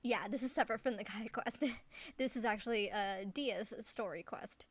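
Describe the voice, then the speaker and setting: A woman chatting casually while streaming a game, giggly and halting as she restarts her thoughts. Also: medium pitch, no room sound. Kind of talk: casual chat while playing a video game. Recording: faint background noise.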